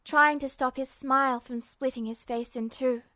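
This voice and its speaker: A woman reading fiction aloud as an expressive, scripted audiobook narration. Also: high voice, normal speed, dry recording, clean studio sound. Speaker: fan fiction audiobook reader.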